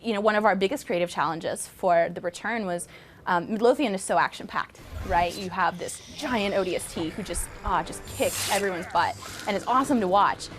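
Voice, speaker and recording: A woman's voice: medium pitch, fairly quick, slight background noise. A woman talking casually as a production team member in an interview. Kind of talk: casual behind-the-scenes interview.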